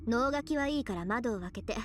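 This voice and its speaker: A woman voicing a sharp, composed and bossy teenage girl mage in a Japanese visual novel. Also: fairly high pitch, animated, rapid-fire, music in the background, lightly acted. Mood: brisk, commanding.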